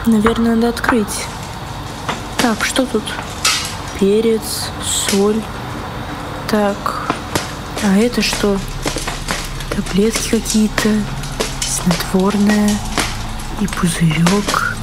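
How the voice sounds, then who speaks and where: A woman playing an unsettled teenage schoolgirl muttering to herself, haltingly thinking aloud in an audio drama. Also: mid-range voice, fairly even intonation, low-quality recording. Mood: puzzled, searching. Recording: loud music over the voice.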